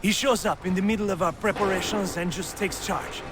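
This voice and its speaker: An actor playing a brash, commanding military officer with a French accent, speaking in a theatrical, excitable way. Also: male voice, high voice, brisk, noisy background, lightly acted. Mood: indignant. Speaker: game character.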